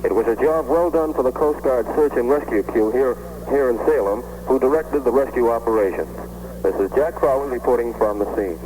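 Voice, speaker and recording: A man's voice: medium pitch, a little fast, big-room sound, noisy background. A man giving an on-scene news report as a field reporter for vintage radio.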